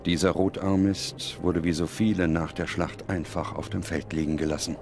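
A man reading scripted documentary narration in a solemn, measured manner with dramatic pauses. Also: deep voice, steady tone, noisy background. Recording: loud music under the voice. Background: music.

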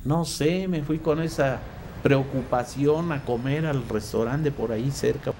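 A man's voice: medium pitch, unhurried, darkish tone. A man with a Mexican accent, a radio sound effects artist telling anecdotes casually and colloquially in an interview. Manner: colloquial, acts out remembered dialogue. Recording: clear background noise. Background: background noise.

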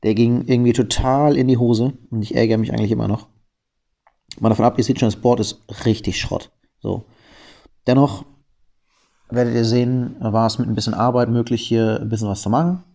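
A man with a cold gives gameplay commentary, calmly explaining each move in detail with frequent fillers. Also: medium pitch, fairly quick, creaky. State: has a cold.

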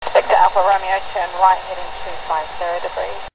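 Noisy radio recording, woman air traffic controller, clipped instructions, lowish creaky voice. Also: little pitch movement, a little fast. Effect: radio transmission.

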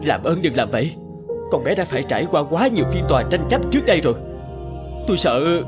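A protective, distressed father in a cartoon. He protests emotionally, his fairly high voice rising and falling a lot over faint music. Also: big pitch swings, fast talker, lo-fi sound. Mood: pleading. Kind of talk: animated cartoon dubbing.

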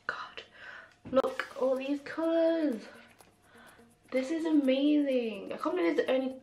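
A young woman reviewing makeup in a casual vlog, excitable and full of fillers, her voice a little rough from a cold and sore throat. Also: on the high side. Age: young adult. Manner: gushing, excitable, many fillers. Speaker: beauty vlogger. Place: small room at home, indoors. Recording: echoey room with faint music.

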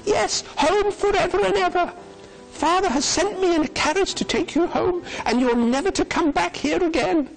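An adoring little girl in a storybook , put on by a man. The voice is very high and eager, breathlessly repeating itself with childish delight, with faint music behind. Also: normal speed, gravelly, poor audio. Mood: joyful, affectionate.

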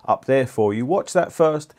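A man's voice: mid-range voice, lively intonation, moderate pace, a little mellow. Middle-aged man presenting to camera. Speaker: host, hi-fi reviewer. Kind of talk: scripted-sounding video presenting to camera. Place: small indoor studio room with acoustic panels. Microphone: lapel mic.

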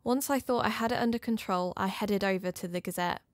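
A woman's voice: mid-range voice, a little fast, no echo, studio quality, natural voice. A woman playing an uneasy investigator, narrating her story in scripted first person, confidingly. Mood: uneasy, reflective.

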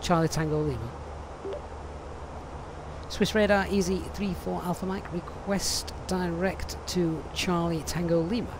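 A man's voice: high voice, fairly even intonation, a little slow, background noise. A man streaming a flight simulator, chatting and reading out viewer questions with many ums and uhs. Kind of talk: live stream commentary.

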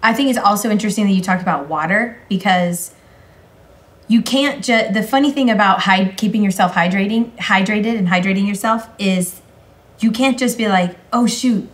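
A woman's voice: medium pitch, normal speed. Woman, host, casual interview, reads questions, follow-up asker. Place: bright living-room studio set.

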